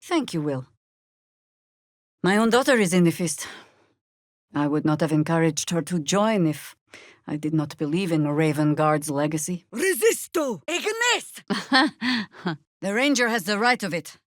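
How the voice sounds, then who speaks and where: A seasoned battle-worn adventurer lady for a video game, wry and measured, lowish voice, dry close sound. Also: animated, dry recording. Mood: commanding, incantatory. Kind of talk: video game character dialogue and spell calls.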